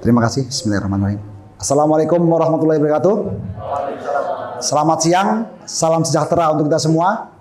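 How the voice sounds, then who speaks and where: A middle-aged man giving an energetic business seminar talk into a handheld microphone in a meeting hall, gesturing and questioning his audience. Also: fairly high pitch, fast talker, pauses often, crowd noise. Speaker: seminar speaker.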